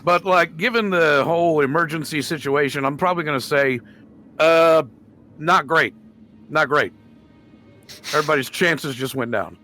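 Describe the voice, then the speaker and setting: A man's voice: on the high side, moderate pace, pauses often, bright, forceful tone, soft background music. A man chatting casually as a player in a tabletop roleplaying game. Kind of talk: casual in-character game chat.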